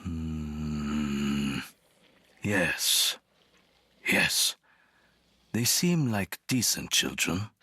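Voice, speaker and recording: An actor playing a gruff, sardonic innkeeper husband in an audio adventure drama. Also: male voice, rumbling low, expressive, extremely slow, pauses often, pushed and bright, no echo, studio quality, full character voice. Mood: concerned. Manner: haggling, sardonic, blustering at his wife. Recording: faint music behind.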